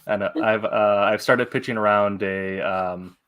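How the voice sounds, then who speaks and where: A man hosting a casual interview, phrasing his questions in a hesitant, halting way. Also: lowish voice, fairly even intonation, fairly bright tone, clean tone. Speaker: interviewer and show host.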